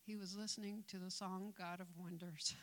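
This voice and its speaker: A woman giving a tender testimony in church, soft-spoken with small laughs. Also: medium pitch. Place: church sanctuary.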